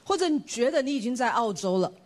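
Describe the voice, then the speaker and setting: Woman preacher, fast, husky edge, earnest testimony. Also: fairly high pitch, animated. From Taiwan. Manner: earnest, emphatic, with rhetorical questions. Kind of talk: sermon with personal testimony.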